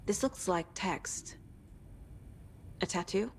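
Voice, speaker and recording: A woman playing a composed, analytical FBI agent in a video game, speaking in a measured, matter-of-fact way. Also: mid-range voice, a little hiss or hum. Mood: focused, pondering. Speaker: investigator.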